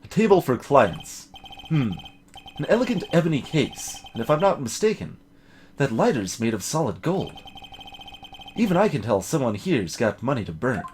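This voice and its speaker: A man's voice: mid-range voice, sing-song, normal speed, a little mellow, slight background noise, close to an everyday voice. A man voicing a young male defense lawyer's dry, observational inner narration in a video game. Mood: wry musing. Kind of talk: voiced visual novel game reading.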